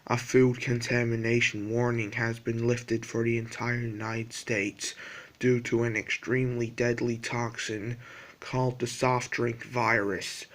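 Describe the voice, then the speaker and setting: Slow, monotone male emergency announcer. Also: mid-range voice, gravelly, low-quality recording. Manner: flat, monotone, formal delivery. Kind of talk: scripted emergency broadcast announcement.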